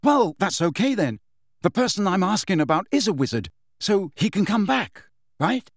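A man's voice: high-pitched, big pitch swings, normal speed, no room sound, studio quality, voice pushed up, full character voice. A man voicing an impatient, pleading teenage boy wizard in a storybook reading, urgent and insistent with his questions.

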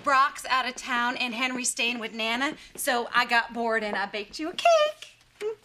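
A woman's voice: a little high, big pitch swings, a little slow, very noisy. An actress playing an overeager, chirpy woman in a sitcom. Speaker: sitcom actor. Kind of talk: broadcast sitcom acting.

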